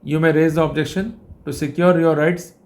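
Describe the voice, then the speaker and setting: Higher-pitched Indian man lecturing formally. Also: steady tone, moderate pace, creaky, a little mellow. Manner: formal, wordy, didactic. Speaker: legal educator and host. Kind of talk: legal lecture.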